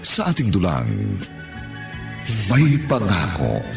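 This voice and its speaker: A man's voice: low voice, wildly swooping pitch, slow, vocal fry. A man announcing a radio drama in a portentous, scripted style. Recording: music under the voice.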